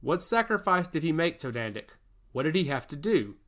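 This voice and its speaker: A man's voice: on the high side, very animated, normal speed, fairly bright tone. A man voicing a worried, determined queen and mother in a fantasy story, speaking in an earnest, pressing way. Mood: insistent.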